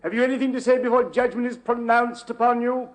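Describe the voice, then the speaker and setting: An actor playing a stern court clerk who proclaims loudly and formally in 1940s radio drama style. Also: male voice, very high voice, steady tone, fast talker. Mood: stern, proclaiming.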